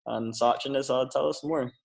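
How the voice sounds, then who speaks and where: A man's voice: mid-range voice, fairly even intonation, normal speed, gravelly. A young man hosting a podcast in a loose, joking way. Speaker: podcast host. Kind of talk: casual podcast chat.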